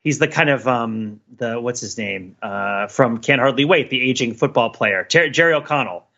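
A man's voice: mid-range voice, normal speed, gravelly, highs cut off. A man co-hosting a casual pop culture discussion, telling stories in a rambling way as he searches for words and checks that the listener follows. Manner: rambling, searching for words, animated storytelling with frequent check-ins. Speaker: podcast co-host.

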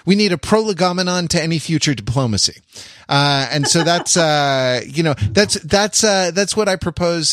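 A man's voice: a little high, fairly bright tone, dry recording. Wordy, wry man chatting, dry sound. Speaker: podcast host. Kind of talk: casual chat about TV shows.

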